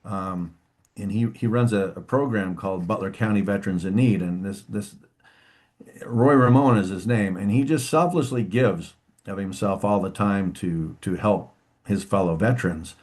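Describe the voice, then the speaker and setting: A man who is a guest on a podcast interview, speaking in a halting, reflective way with frequent restarts and "you know". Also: fairly low pitch, darkish tone. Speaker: musician guest. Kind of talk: interview conversation.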